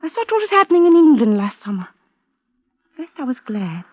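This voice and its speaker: A woman's voice: sits high, very animated, brisk, no echo. A woman playing a bitter, distraught young stepdaughter in an intense, petulant, overwrought old radio drama style. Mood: agitated. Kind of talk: 1940s-50s radio drama acting. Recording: dull vintage radio recording.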